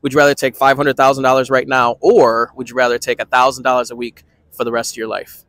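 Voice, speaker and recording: A man in his thirties interviewing people on a city street with a handheld mic, quick-talking and repeating short affirmations. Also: medium pitch, little pitch movement, fairly bright tone, dry recording. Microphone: handheld microphone.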